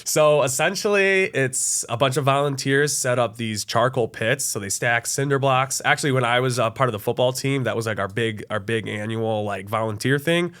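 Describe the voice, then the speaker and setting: A man's voice: medium pitch, normal speed, hardly any pauses. Easygoing young man, chatty guest. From Michigan, USA. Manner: relaxed, friendly, with many fillers and restarts. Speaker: college access adviser, guest. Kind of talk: casual interview conversation.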